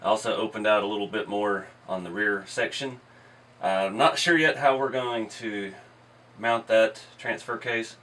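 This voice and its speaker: A hoarse man hosting a hobby channel, chatting casually while he works on a model build in his workshop. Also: on the low side, moderate pace, distant mic. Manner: relaxed, explains while working with his hands. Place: indoor garage workshop.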